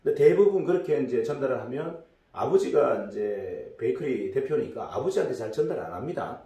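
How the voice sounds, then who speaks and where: A middle-aged man with a hoarse, gravelly throat, his tone dark and mellow, tells a business lecture as a loose story. He rambles and restarts often. He is picked up from a distance in a roomy, echoing space. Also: mid-range voice, lively intonation, brisk. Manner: rambling, many fillers and restarts. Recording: echoey room, distant mic.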